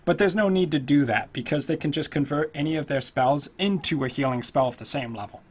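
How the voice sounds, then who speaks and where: A slightly hoarse man casually walking through a tabletop character build, thinking aloud with lots of fillers. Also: a little high, a little fast. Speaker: tutorial host. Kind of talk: casual screen-recorded game tutorial.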